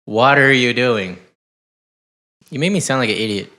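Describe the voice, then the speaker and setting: A man's voice: mid-range voice, animated, normal speed, bright, forceful tone. A young man hosting a podcast, rambling playfully through anecdotes in casual chat. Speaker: podcast host.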